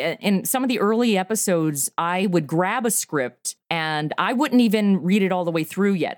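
A woman's voice: fairly low pitch, no echo, studio quality. A woman in her forties, a comedy podcast creator, talking enthusiastically in an interview and laughing easily. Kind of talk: interview conversation.

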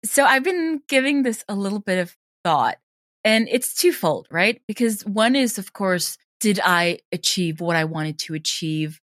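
Female interviewee, deep register. Conversational, emphatic, informal delivery with a very dry studio sound. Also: fairly bright tone, dry recording. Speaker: guest, audio drama creator.

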